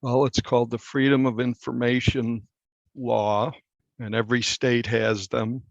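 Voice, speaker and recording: A male reporter answers interview questions with deliberate, halting phrasing. His voice is creaky and gravelly at an average pitch, and the recording is dry and somewhat muffled. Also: no room sound. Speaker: newspaper reporter being interviewed. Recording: dull, slightly muffled lo-fi sound.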